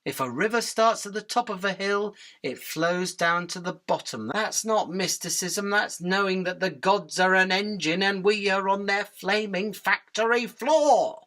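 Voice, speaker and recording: A woman's voice: mid-range voice, measured pace, hardly any pauses, fairly bright tone, natural voice. A woman playing a tiny, earnest, defiant winged insect prophet of doom in a radio drama, with an urgent, preachy, sardonic delivery. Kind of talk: theatrical radio drama acting.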